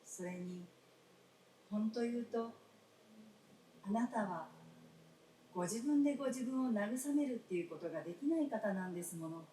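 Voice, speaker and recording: Actress as a gently reproachful wife, roomy and distant. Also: female voice, mid-range voice, lively intonation, moderate pace, pauses often, natural voice. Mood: tender reproach. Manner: polite, old-fashioned feminine speech, gently reproachful. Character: gentle, frank dead wife speaking to her husband. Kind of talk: staged radio drama acting. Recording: roomy stage, distant mic, faint noise.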